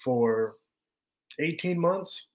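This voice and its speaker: A man's voice: on the high side, lively intonation, extremely slow. A man in his thirties, a podcast guest, telling his story in a loose, rambling way with many fillers. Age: 30s. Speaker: podcast guest, business owner. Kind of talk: casual conversation.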